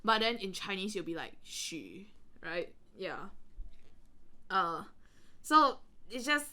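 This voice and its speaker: Lively, giggly Singaporean woman chatting. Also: medium pitch, takes it slowly. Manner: giggly, rambling, exclaims often. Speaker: podcast co-host reading and commenting. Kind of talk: casual chatty commentary with a friend.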